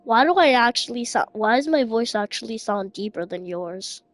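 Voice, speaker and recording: A woman's voice: fairly high pitch, animated, moderate pace, no echo. A young woman live-streaming, chatting casually with other players in a slangy way and repeating phrases insistently, with music behind her.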